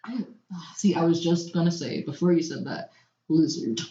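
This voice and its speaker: A woman's voice: fairly low pitch, lively intonation, normal speed, mellow. A woman co-hosting a podcast, trading improvised comic banter in a playful, mock-insistent way. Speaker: podcast co-host. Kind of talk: improvised comedy audio adventure, casual banter. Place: echoey room. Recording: echoey room, slightly dull sound.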